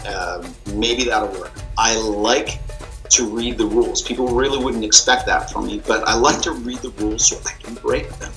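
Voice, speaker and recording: A man's voice: medium pitch, pushed and bright, poor audio. A man in his forties from New Jersey, talking bluntly and casually in a pre-game interview, swearing freely. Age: 40s. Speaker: contestant.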